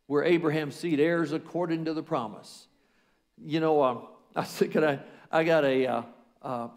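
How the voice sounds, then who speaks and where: An older man with a Southern US accent, a pastor leading a fervent prayer and sermon from a large church stage at a podium mic. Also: on the high side. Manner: fervent, repeats praise phrases. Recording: long hall reverb with music.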